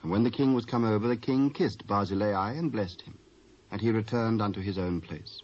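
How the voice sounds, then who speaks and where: A British man narrating scripture from a script in a formal, measured storytelling manner. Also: lowish voice, darkish tone. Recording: muffled old cassette.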